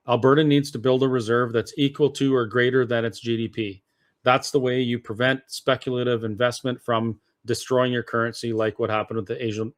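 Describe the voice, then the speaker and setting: Guy as interview guest, average pitch, talks long and earnest, casual explaining. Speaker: interview guest, political activist.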